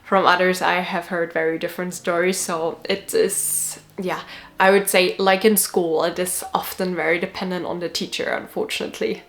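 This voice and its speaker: A young woman vlogging in a relaxed, chatty way, full of fillers and restarts, for whom Swedish is not a first language. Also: fairly low pitch, moderate pace. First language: not Swedish; Swedish is her sixth language. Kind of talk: casual talk to camera.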